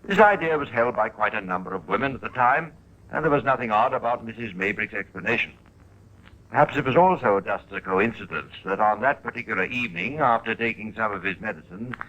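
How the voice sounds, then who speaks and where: A man narrating a 1950s radio crime drama in a formal, deliberate, scripted style. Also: fairly low pitch, sharp tone, muffled recording.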